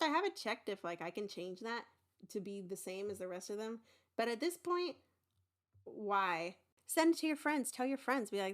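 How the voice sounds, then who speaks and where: A young woman hosting her own podcast, talking casually and excitedly in a rambling, informal way. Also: fairly high pitch. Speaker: podcast creator and host. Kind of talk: casual, chatty podcast announcement.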